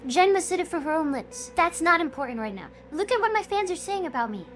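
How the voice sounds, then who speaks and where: A woman's voice: very high voice, expressive, fast talker. A woman acting out a troubled young streamer and her scheming alter ego in a scripted, emotional drama. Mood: distressed, pleading. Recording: noisy background ambience. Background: ambient noise.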